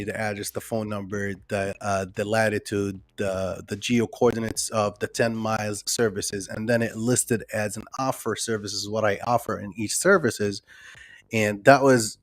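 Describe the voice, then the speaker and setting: A man's voice: lowish voice, no echo. A man whose first language is not English presents a live online workshop in a loose, conversational way, with many ums and restarts, and reads out viewer comments.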